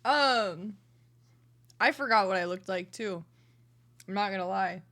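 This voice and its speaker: Hoarse, bright-voiced woman streamer. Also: mid-range voice, a little slow. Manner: chatty, rambling, self-deprecating. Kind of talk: casual livestream chat.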